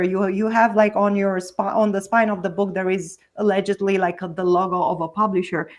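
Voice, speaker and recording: A woman, being her language, answering podcast questions as a book coach in an earnest way with frequent restarts. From Romania. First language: Romanian. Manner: earnest, with frequent restarts and pitch jumps on key words.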